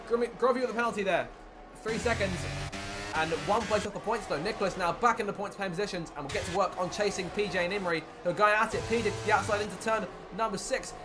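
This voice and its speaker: A man commentating a sim racing league, calling the action excitedly while his voice is wearing thin. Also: high-pitched. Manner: excited play-by-play, rising with the action. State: losing his voice. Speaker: lead commentator.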